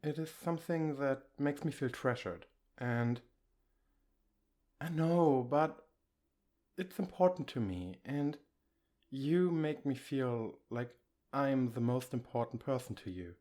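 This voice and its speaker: A man playing an affectionate, reassuring boyfriend in an intimate ASMR comfort roleplay, speaking in a gentle, coaxing, conversational way. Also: mid-range voice, lively intonation, slow. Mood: tender, earnest.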